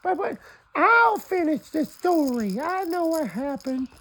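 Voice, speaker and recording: A man's voice: extremely high pitch, sing-song, unhurried, fairly bright tone, full character voice. A man voicing an eager little puppet critic who chatters and repeats himself in a folksy improvised puppet show. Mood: chatty.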